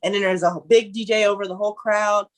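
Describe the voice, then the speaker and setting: A woman's voice: medium pitch, steady tone, fast. A woman in her twenties from Ohio, animatedly telling a story as a guest in a casual conversation.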